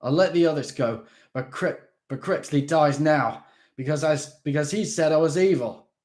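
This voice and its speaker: A man's voice: a little high, moderate pace, a little mellow, voice pushed up, lightly acted. A man voicing a sneering, unhinged madman. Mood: vengeful. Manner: snarling, sneering.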